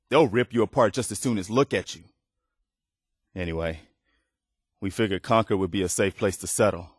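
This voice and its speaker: Low-voiced, earnest militia soldier. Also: male voice, no room sound, studio quality. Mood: explaining, steady, then resigned. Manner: sincere, plain-spoken, hesitant when opening up. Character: earnest, weary militia soldier, loyal and humble man. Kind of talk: video game character dialogue.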